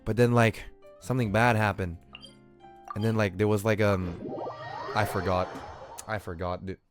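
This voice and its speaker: A man's voice: on the low side, steady tone, normal speed, music in the background. A man livestreaming a game with casual, rambling, slangy commentary. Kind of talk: casual gameplay commentary to chat.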